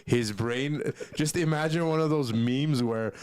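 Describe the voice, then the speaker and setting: A man's voice: mid-range voice, a little slow, a little mellow, clean tone, dry recording, clean studio sound. A man co-hosting a livestream, joking and teasing in casual chat. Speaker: co-host.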